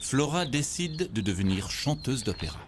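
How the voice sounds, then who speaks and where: A man narrating a scripted children's story in an expressive, theatrical way. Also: mid-range voice, normal speed, noisy background. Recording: music playing behind the voice.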